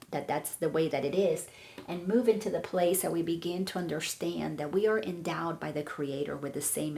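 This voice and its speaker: Woman, spiritual coach, low gravelly voice, earnest reflective teaching delivery. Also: a little mellow. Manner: earnest, reflective, with emphatic repetitions. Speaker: awareness coach.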